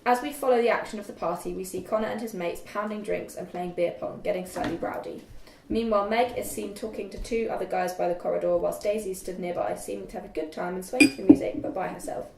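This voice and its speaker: A young woman narrates scene directions from a script in a mid-pitched voice. She sits away from the mic in an echoey room with faint noise. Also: steady tone, quick pace, gravelly, recorded from a distance. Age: young adult. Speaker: stage-direction reader.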